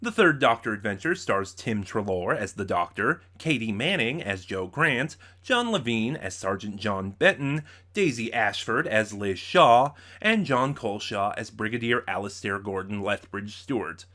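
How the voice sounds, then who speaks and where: A man's voice: on the low side, expressive, a little slow, few pauses, bright, forceful tone. A man hosting a casual, unscripted review, talking in a rambling way with many asides and self-corrections. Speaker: host of a review channel.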